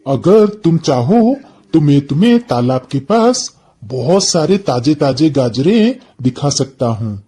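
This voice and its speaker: Man voicing a slow, sly cartoon crow. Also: a little high, very animated, dark tone, husky, heavily acted. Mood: sly coaxing. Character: cunning selfish male crow, sweet-talking trickster. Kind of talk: cartoon moral story acting.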